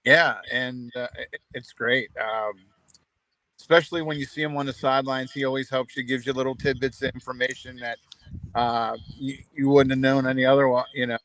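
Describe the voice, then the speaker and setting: A hoarse man co-hosting a local high school sports talk show, speaking in a halting way with many pauses and restarts. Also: flat delivery, fairly bright tone, no echo, rough recording. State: hoarse, losing his voice.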